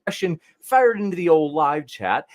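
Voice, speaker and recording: A man's voice: fairly high pitch, very animated, normal speed. A man moderating a debate, chatty and enthusiastic, calling the audience folks. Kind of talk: upbeat moderator introduction.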